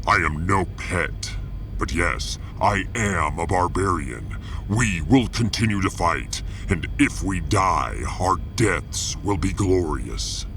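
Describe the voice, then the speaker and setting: A man's voice: low-pitched, expressive, slow pace, fairly bright tone, slight background noise, exaggerated voice. A man playing a proud, battle-hungry barbarian warrior officer in a sci-fi audio drama, bellicose and grandiose.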